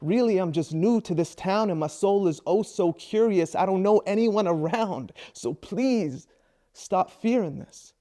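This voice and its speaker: A man's voice: sits high, mellow. A man in his thirties from Iraq, introducing himself and then performing his own spoken word poem with a rhythmic, rhyming delivery. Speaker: presenter and spoken word poet.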